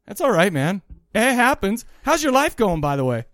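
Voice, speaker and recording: A man's voice: sits high, sing-song, moderate pace, fairly bright tone, no echo, clean studio sound. A man co-hosting a podcast in casual comic banter, teasing, swearing and repeating himself. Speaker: podcast co-host.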